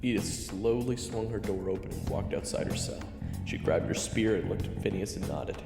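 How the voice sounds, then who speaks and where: A man playing an exasperated, anxious fantasy adventurer who narrates his own tale in an audio roleplay, with dramatic, expressive delivery. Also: low voice, normal speed, gravelly. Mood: suspenseful narrating. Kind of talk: audio roleplay acting and first-person narration. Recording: roomy reverb under loud music.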